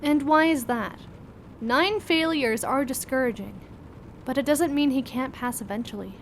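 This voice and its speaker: A woman's voice: high-pitched, moderate pace, a little hiss or hum. A woman playing an informal, teasing, inquisitive doctor at a school in an audio drama, speaking casually. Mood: concerned, curious.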